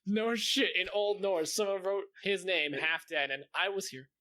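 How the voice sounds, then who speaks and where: A man co-hosting a podcast, telling stories in a casual, joking, sweary and animated way. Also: sits high, moderate pace, dry recording. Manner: animated, profane. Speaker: podcast co-host. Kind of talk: casual joking chat, storytelling.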